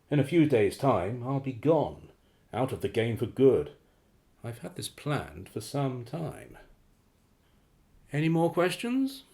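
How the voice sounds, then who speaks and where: A man playing a cool, calculating operative in a radio play, measured, formal and businesslike. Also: medium pitch, warm tone. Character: cool, calculating male operative planning to retire.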